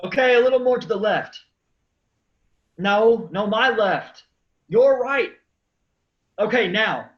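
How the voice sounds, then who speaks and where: A man's voice: sits high, lively intonation, slow pace, pauses often. A man playing an earnest, argumentative man who wants to die, in a staged reading with dull sound. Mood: agitated. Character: earnest, argumentative, despairing man set on dying.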